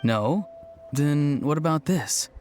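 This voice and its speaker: A man's voice: on the high side, expressive, slow. A man playing an easygoing, friendly craftsman in an anime game, talking in a musing, slightly hesitant way. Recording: music behind the voice.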